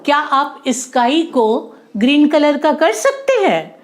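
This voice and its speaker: Lady doing a smart confident little boy in a kids story, high swoopy voice, explains stuff slowly. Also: sing-song, exaggerated voice. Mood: challenging questions. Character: clever, confident young boy giving simple advice.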